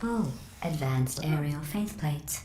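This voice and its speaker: A woman voicing a cold, sarcastic female AI that runs a test facility, with a synthetic robotic edge and a deadpan, passive-aggressive delivery. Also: sits low, takes it slowly, over loud music. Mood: dry sarcastic. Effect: synthetic robotic processing. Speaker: antagonist. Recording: roomy echoing game audio with faint noise.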